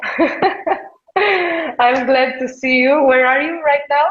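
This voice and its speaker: Halting Portuguese-accented woman host. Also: high-pitched, dull audio. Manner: halting, searching for words. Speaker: host, tantra coach. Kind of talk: live video conversation. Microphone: video call.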